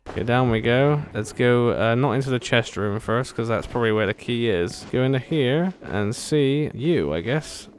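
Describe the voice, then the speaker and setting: A man's voice: medium pitch, moderate pace, slight background noise. A man giving casual gameplay commentary as host, jokey, sarcastic and playful. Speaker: gaming video host.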